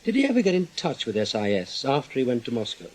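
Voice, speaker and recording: An intelligence man in a radio play. His voice is medium in pitch for a man, with a cool, authoritative edge. He speaks briefly and pointedly, probing with a sceptic's restraint. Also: wildly swooping pitch, quick pace, a little mellow. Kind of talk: radio drama dialogue.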